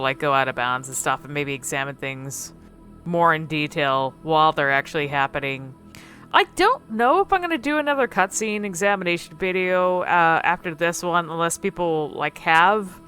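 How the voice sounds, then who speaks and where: Faint music plays behind a woman talking off the cuff about a game. Her voice sits a little low but has a bright edge. She speaks casually and hesitantly, meandering from thought to thought as she comments. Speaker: video creator. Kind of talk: casual unscripted gameplay commentary.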